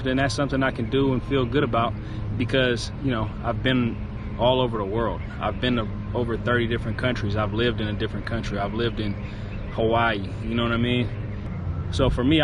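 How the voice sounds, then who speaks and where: Young man vlogging outside. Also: mid-range voice. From East Bay Area, California. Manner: rambling, reflective, frequent uh fillers. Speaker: fitness vlogger. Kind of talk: casual vlog talk to camera. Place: outdoors by a city convention center. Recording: outdoor street noise, cars passing. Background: city traffic noise.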